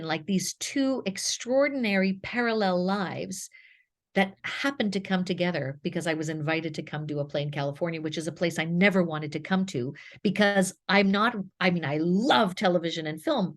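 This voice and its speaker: A woman's voice: lowish voice, moderate pace. A woman in her fifties with a French Canadian accent, a native French speaker, giving an effusive motivational talk as a guest speaker. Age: 50s.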